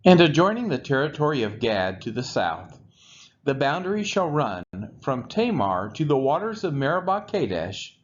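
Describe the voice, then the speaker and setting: A man reading scripture aloud from the text in measured, separate phrases. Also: mid-range voice, big pitch swings. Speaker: scripture reader. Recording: muffled lo-fi audio with background noise.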